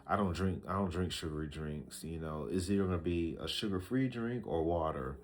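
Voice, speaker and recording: A man in his early thirties talking to a camera, giving casual life advice in a slow, reflective way, often repeating himself with fillers and restarts. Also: deep voice, a little hiss or hum. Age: 30s. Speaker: vlogger.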